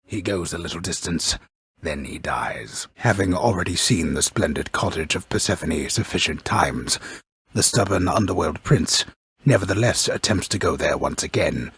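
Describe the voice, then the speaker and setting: A man playing a grave, pompous storyteller in a mythic video game, narrating deliberately with wry, deadpan solemnity and a gravelly voice. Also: low voice, no room sound, studio quality, heavily acted. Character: grave, pompous old storyteller narrating Greek myth, wryly ominous. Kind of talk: scripted dramatic storybook narration.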